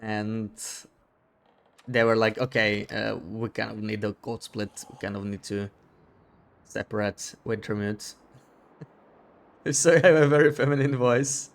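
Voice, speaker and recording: A man's voice: on the low side, steady tone, measured pace, gravelly, pauses often. A man streaming a game live, chatting casually with viewers, thinking aloud with frequent restarts. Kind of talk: casual gameplay chat, reading viewer comments.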